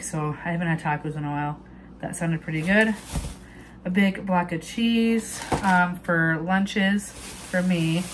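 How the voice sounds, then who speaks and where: A woman's voice: fairly low pitch, noisy background. A young woman chatting casually with many ums and restarts, showing her groceries in a home kitchen, recorded on a propped-up phone. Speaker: vlogger. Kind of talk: casual grocery haul chat. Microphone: phone camera propped on counter.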